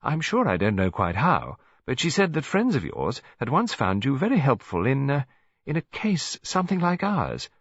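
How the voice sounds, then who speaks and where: A man's voice: mid-range voice, huge pitch swings, normal speed, slightly rough, no echo, muffled recording, voice pushed up, exaggerated voice. Man voicing a genteel, chatty elderly English lady, swooping intonation. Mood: hesitant, confiding. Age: older. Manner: chatty, rambling, polite tag questions.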